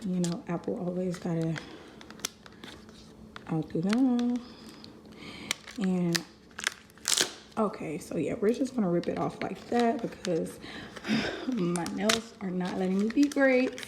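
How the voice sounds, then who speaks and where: A woman's voice: medium pitch, takes it slowly, pauses often, noisy background. A young woman hosting a casual unboxing review, chatty and talking to viewers as "you guys", getting over a cold. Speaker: vlogger host. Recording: echoey room.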